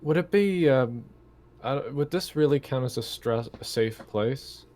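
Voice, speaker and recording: A man's voice: medium pitch, lively intonation, measured pace, darkish tone. A man in casual game chat as a player, wry and a little tentative.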